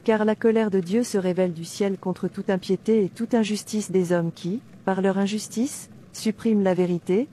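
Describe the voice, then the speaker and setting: A woman playing a devout, fearless captive in an animated drama, reciting scripture in a declamatory way. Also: medium pitch, normal speed, hardly any pauses, a little hiss or hum, close to an everyday voice. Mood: defiant, devout. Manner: declamatory, reciting verses with references.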